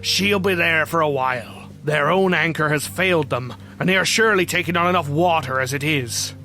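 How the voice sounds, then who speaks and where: A man's voice: fairly high pitch, moderate pace, sharp tone, a little hiss or hum. A man playing an earnest young pirate crewman in an audio drama, speaking politely and pleadingly to his superior. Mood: earnest, reasoning. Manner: deferential, pleading.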